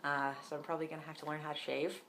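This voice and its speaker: A man's voice: a little high, level tone, rapid-fire, pushed and bright, a little hiss or hum. A young man with a voice that cracks now and then gives a casual, rambling video diary update at home, with many ums and likes. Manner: rambling, lots of "um" and "like". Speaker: vlogger.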